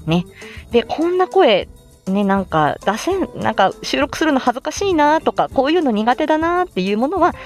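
Female amateur voice actor as livestream host: animated, swooping pitch, friendly tutorial chat, polite and encouraging, music underneath. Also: moderate pace. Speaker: amateur voice actor explaining how to make voice samples. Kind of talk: casual explanatory livestream chat. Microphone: smartphone, close.

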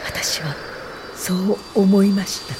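A woman's voice: medium pitch, fairly even intonation, background noise, natural voice. An actress in a radio drama playing an earnest, principled woman educator and social worker, speaking politely and thoughtfully. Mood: reflective. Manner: polite, thoughtful, measured delivery. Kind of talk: radio drama dialogue and reflective first-person narration.

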